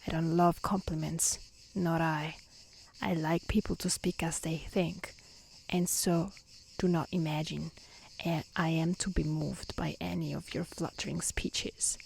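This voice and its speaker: A woman voicing a stern, wrathful male beast in a storybook reading, softly and breathily, with blunt, formal menace. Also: low voice, fairly even intonation, a little slow. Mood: blunt, disdainful. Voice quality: soft, breathy. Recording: faint outdoor insect noise.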